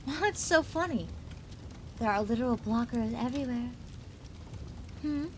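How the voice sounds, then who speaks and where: Woman playing a high-voiced, taunting female supervillain. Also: lively intonation, normal speed, darkish tone, a little hiss or hum, close to an everyday voice. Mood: smug, teasing. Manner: theatrical, sing-song teasing with frequent giggling. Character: gleeful, taunting, unhinged female supervillain toying with captive. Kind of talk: ASMR roleplay.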